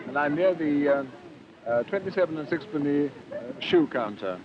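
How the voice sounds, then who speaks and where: A man's voice: a little high, expressive, normal speed. A man, a polite and hesitant reporter interviewing shoppers on the spot in a busy store. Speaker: newsreel reporter. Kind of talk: on-the-spot vox pop interviewing. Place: crowded department store sales floor. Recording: muffled 1950s newsreel, clear background noise.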